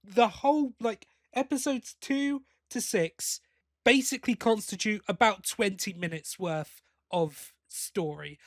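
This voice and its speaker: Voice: medium pitch, no echo. A podcast host chats casually through a review in a mid-range voice. The delivery is opinionated, leaning into emphatic complaint. The sound is dry and close.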